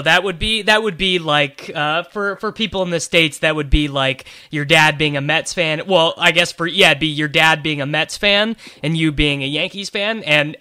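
Podcast host, male, bright and fairly high-pitched, eager and rambling. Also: dry recording, clean studio sound. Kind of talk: casual interview.

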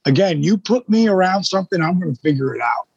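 A man in his sixties with a husky voice, a guest telling his story in a blunt, emphatic way with some swearing. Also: sits high, lively intonation, fast, darkish tone, no room sound, muffled recording. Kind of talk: casual storytelling in an interview.

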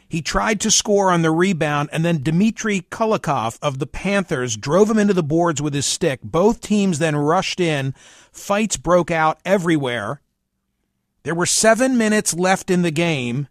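A man hosting a radio talk show, reading news aloud and commenting conversationally with emphatic, dramatic pauses. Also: sits high, no room sound, studio quality.

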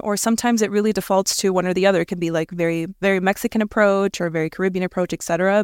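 Woman guest from Venezuela and Miami, smooth voice, dry close sound, thoughtful pace. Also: on the low side, no echo. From Venezuela, raised in Miami. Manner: thoughtful, many ums. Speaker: project manager, podcast guest. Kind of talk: casual roundtable discussion.

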